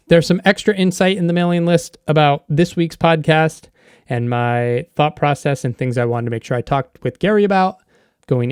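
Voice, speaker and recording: A man's voice: a little high, a little mellow, clean tone, dry recording, studio quality. A man hosting an interview podcast in a casual, conversational style.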